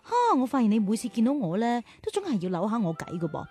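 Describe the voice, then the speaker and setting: A woman playing a caring, gently chiding big-sister teacher who hosts a children's radio drama. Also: on the high side, lively intonation, fast, slightly rough, soft background music. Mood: coaxing, playful. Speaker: host and teacher.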